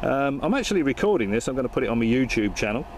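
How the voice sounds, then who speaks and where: A man's voice: mid-range voice, very animated, rapid-fire, a little hiss or hum. A man chatting casually and talking on air as an amateur radio operator, with a hesitant, dryly joking manner. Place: vehicle outdoors in Perth suburbs. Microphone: handheld camera.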